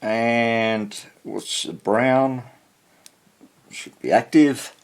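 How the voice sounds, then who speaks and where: A man giving casual hands-on repair narration, haltingly muttering to himself as he works and thinks aloud. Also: medium pitch, slight background noise. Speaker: hobbyist radio restorer, presenter.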